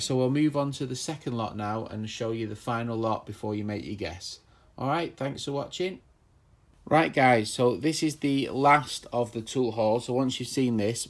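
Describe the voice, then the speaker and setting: A man chatting casually and enthusiastically as he shows off old tools, rambling with plenty of fillers. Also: on the low side. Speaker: tool collector vlogger. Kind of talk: casual show-and-tell narration.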